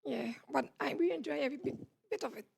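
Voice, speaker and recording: A woman's voice: sits high, quick pace, a little mellow. A woman giving casual interview answers as a stage performer, over a noisy recording. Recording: clearly noisy event microphone pickup.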